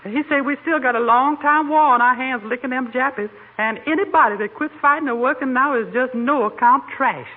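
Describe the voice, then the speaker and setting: Sassy, cheerful radio-comedy housemaid, very high voice, folksy and exclamatory, thin vintage radio sound. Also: male voice, fairly quick, hardly any pauses. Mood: earnest, proud. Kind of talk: 1940s radio comedy acting. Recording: tinny, band-limited 1940s radio recording.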